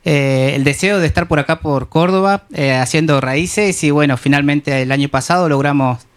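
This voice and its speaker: A man from Argentina's south talks about his dance group in an unhurried, searching way, full of small hesitations. His voice sits a little above the usual male range, and he keeps an easy, conversational tone throughout. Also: fairly high pitch, smooth. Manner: unhurried, with many hesitations and fillers. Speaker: guest, dance group member. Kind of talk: casual radio interview.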